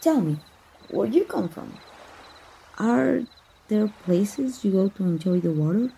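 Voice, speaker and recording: A woman with a Mexican accent playing a playful, tender young princess in a romantic ASMR roleplay, teasing gently with bashful hesitations. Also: mid-range voice, animated, unhurried, gravelly. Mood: warm, shy. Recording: noisy outdoor nature ambience.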